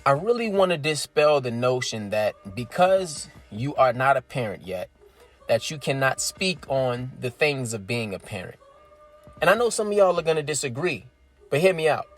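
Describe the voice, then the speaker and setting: A man's voice: medium pitch, sing-song, moderate pace, faint music behind. A thirty-year-old man giving his opinion in a casual, emphatic monologue, explaining step by step and checking in with his listeners. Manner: emphatic, explains step by step, keeps asking "right?". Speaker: vlogger.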